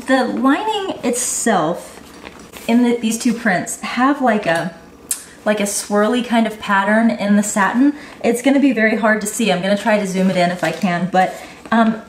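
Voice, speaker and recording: A woman's voice: mid-range voice, roomy sound, slight background noise. Bubbly nasal woman with a cold. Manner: bubbly, gushing enthusiasm. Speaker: online baby boutique owner reviewing bags. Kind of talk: product review vlog.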